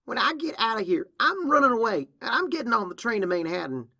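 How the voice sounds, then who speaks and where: A man's voice: on the high side, expressive, normal speed, vocal fry, voice pushed up. A man voicing a bratty, defiant, whiny young female pony in a petulant, indignant way for a story reading. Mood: angry, indignant.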